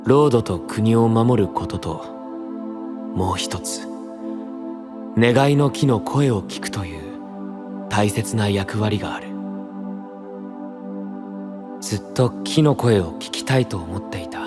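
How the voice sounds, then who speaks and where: A man playing an earnest, troubled young knight of a secluded kingdom in a Japanese fantasy voice drama, soft-spoken, measured and sincere. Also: on the low side, music in the background, natural voice. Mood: wistful, reflective.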